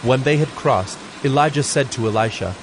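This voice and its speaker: A man's voice: mid-range voice, normal speed, background noise. A man narrating scripture from a script in a solemn storytelling manner. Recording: background music under the voice.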